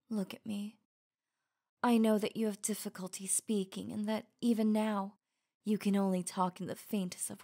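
Slow, sultry werewolf huntress acted by a woman. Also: medium pitch, dark tone. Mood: tender, devoted. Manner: slow, breathy, intimate, often dropping to whispers. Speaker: audio roleplay voice actor. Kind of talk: ASMR roleplay.

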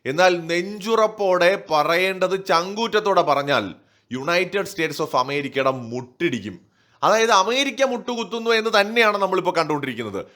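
A man's voice: high voice, expressive, normal speed, sharp tone. A man giving opinionated political commentary in an emphatic, rhetorical manner. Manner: emphatic, rhetorical, asking and answering own questions. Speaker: online news commentator.

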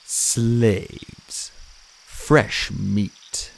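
A man voicing an ancient male horned god who speaks softly, slowly and without emotion, in a low rumble. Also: fairly even intonation, gravelly, heavily acted, low-quality recording. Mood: cold. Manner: soft, slow and deliberate.

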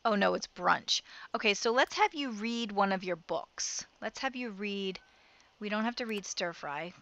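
A woman's voice: medium pitch, measured pace, bright, forceful tone. A woman casually commenting as she plays a life-simulation game, thinking aloud and giving her characters directions. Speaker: let's play host.

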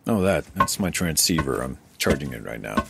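A man's voice: rumbling low, very animated, moderate pace, creaky, noisy background, full character voice. An actor playing a wary, questioning man who keeps careful records, in an audio drama. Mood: guarded. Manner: questioning, guarded.

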